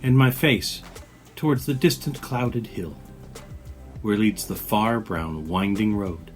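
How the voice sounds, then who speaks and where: A man giving a dramatic poetry reading with measured, expressive phrasing. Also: fairly low pitch, faint music behind. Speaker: poetry reader.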